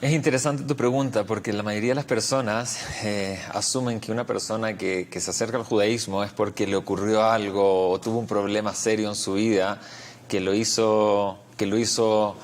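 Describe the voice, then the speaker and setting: A man with a Chilean accent speaks on the low side as an interview guest. He is a rabbi who explains things reflectively, often repeating a word while he finds the next phrase. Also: fairly even intonation, normal speed.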